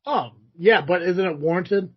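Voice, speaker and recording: A man's voice: high-pitched, unhurried, slightly rough, lo-fi sound. A man co-hosting a podcast, talking bluntly and teasing his co-hosts with swearing. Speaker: podcast co-host. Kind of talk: casual chat.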